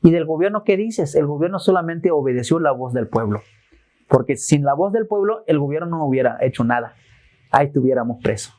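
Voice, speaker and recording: A man's voice: a little high, lively intonation, a little fast, a little mellow. Earnest man. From Tenejapa, Chiapas, Mexico. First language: Tzeltal. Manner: plain, earnest, short phrases. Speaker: former prisoner.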